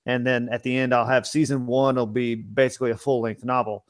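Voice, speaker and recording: A man's voice: medium pitch, flat delivery, fairly quick, no echo. Dry-sounding man in casual podcast chat. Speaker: podcast co-host and fantasy author.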